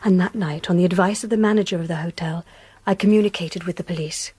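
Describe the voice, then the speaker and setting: Young english victorian governess, reserved n formal, mellow husky-soft voice, close dry sound, radio drama. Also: female voice, lowish voice, fairly even intonation, quick pace, no echo. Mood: grave, sorrowful. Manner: composed, precise, formal. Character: reserved, well-bred young Victorian governess, earnest and composed.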